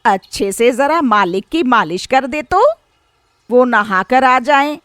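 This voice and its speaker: A woman as a high-pitched, bossy wife. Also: slow, no echo, studio quality. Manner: commanding, animated. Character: bossy, cunning wife ordering servant around. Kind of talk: Hindi cartoon story acting.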